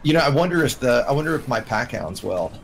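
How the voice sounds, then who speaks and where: A man's voice: medium pitch, expressive, quick pace, vocal fry. A man from the United States chatting casually as a live streamer while playing a game. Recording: noisy gaming voice chat.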